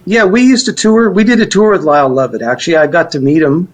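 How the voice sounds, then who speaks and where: A man's voice: fairly high pitch, fast. A middle-aged or older man, reminiscing casually as an interviewee in a rambling, informal way. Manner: rambling, informal, hedging with "kind of" and "you know". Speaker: musician interviewee.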